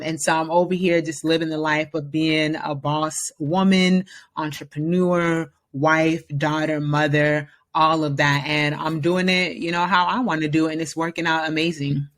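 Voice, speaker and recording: A woman in her thirties from the Bay Area, talking as a guest in a casual interview, upbeat and confident with plenty of fillers. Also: deep voice. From San Francisco Bay Area, US. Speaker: podcast guest.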